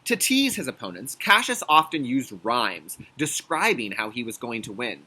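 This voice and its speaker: A male kindergarten teacher with a bright, forceful voice that has a slightly husky edge. He moves between expressive storybook reading and clear explanatory asides for young children, with very animated intonation. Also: medium pitch, moderate pace, low-quality recording. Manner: clear instructional phrasing with check-in questions. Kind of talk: children's read-aloud lesson with explanatory asides.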